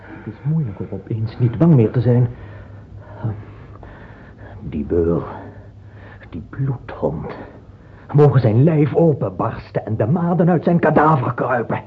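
An actor playing a vengeful, venomous courtier in a radio play, speaking with deliberate delivery and a husky voice. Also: male voice, mid-range voice, lively intonation, a little hiss or hum, close to an everyday voice. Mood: venomous relief. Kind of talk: theatrical radio drama acting. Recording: old lo-fi radio recording, phone-like.

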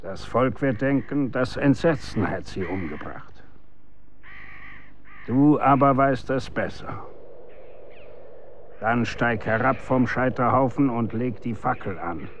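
An actor in a German radio drama playing a stern, grave executioner instructing his son in commanding, archaic, measured diction. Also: mid-range voice, slight background noise.

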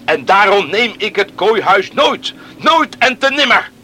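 Unforgiving islander, animated pitch, bitter emphasis, old radio play, faint noise. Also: male voice, a little slow, heavily acted. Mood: proud, bitter. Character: proud, aggrieved, unforgiving islander man. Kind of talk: Dutch radio play acting.

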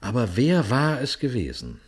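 An actor playing a sober, watchful police detective, telling his case in a measured, formal radio crime drama style. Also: mid-range voice, wildly swooping pitch, husky. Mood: tense, observant. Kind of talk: radio crime drama, suspenseful first-person narration and dialogue.

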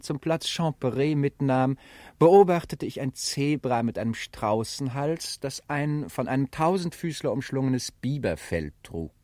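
A man's voice: medium pitch, darkish tone, dry recording. Dry-sounding man narrating a radio play. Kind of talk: literary radio reading.

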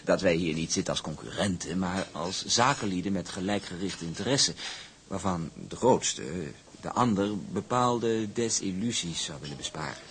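A smug young heir to a shipping business in a radio play. His low voice swoops expressively through formal, condescending phrases. He is pompous and oily in his politeness. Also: expressive, moderate pace, fairly bright tone. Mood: smugly patronising. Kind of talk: Dutch radio drama acting.

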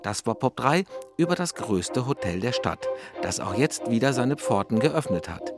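A man's voice: medium pitch, big pitch swings, normal speed. A man making a scripted announcement as a radio presenter. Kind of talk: broadcast announcement. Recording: loud music under the voice.

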